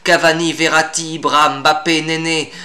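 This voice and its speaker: A man's voice: on the high side, level tone, moderate pace, pushed and bright. A man reciting formal classical verse in a solemn, declamatory and grandiloquent manner. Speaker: poet reciting own poem.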